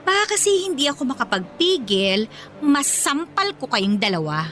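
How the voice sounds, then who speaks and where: A woman's voice: high-pitched, big pitch swings, moderate pace, fairly bright tone, slight background noise. A woman playing a possessive, snooty young girlfriend, mock-polite and catty, with a Filipino accent in a radio drama.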